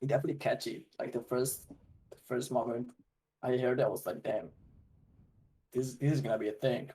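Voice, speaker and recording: A man's voice: medium pitch, steady tone, brisk, mellow, husky, low-quality recording. A man co-hosting a casual podcast, laid-back and slangy, filling his talk with "like" and "man". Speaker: podcast co-host.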